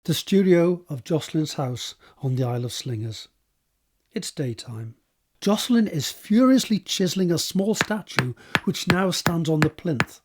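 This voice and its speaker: Husky higher-pitched male narrator. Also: animated, normal speed. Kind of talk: scripted narration of stage directions.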